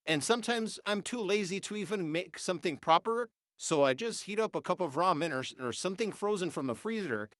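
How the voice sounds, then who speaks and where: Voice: mid-range voice, animated, brisk, hardly any pauses, a bit bright, no room sound, studio quality. A nineteen-year-old vlogger, congested and sniffling, ranting heatedly and swearing through an AI voice filter. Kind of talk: unscripted rant.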